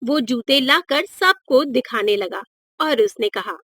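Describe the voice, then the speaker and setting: A woman's voice: sits high, animated, slow, fairly bright tone, no echo, studio quality. A woman narrating a scripted moral story for children.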